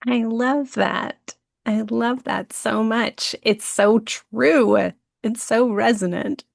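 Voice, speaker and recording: A woman's voice: fairly high pitch, steady tone, slow, creaky, no room sound, studio quality. A woman hosting a podcast, talking in a chatty, enthusiastic way with plenty of fillers. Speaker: podcast host. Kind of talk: casual podcast chat.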